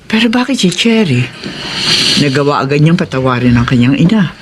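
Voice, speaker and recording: A woman's voice: deep voice, sing-song, brisk, background noise. A woman with a Filipino accent playing a modest, gracious middle-aged woman in a radio drama. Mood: concerned.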